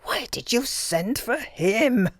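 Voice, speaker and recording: A woman's voice: fairly low pitch, expressive, normal speed, darkish tone, no room sound, studio quality, close to an everyday voice. A woman voicing a menacing, furious woman who hisses her words. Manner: hissing.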